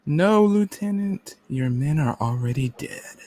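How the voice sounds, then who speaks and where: A man's voice: a little high, expressive, a little slow, mellow. A man playing a stern, controlled government agent in an amateur radio-play reading. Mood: stern, grave.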